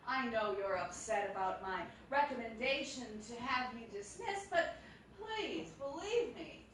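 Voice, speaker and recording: A woman's voice: on the high side, animated, measured pace, fairly bright tone. A woman playing a smug, falsely sweet, cutting businesswoman in a comic radio play on stage. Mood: smug, mock-sweet. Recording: very echoey, distant stage, faint noise.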